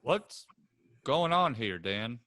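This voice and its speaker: Man voicing a sulky farm son, grumbling, slow, lively bright voice, play reading. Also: medium pitch, full character voice. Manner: grumbling, gruff. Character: angry rural man, grown son, sullen.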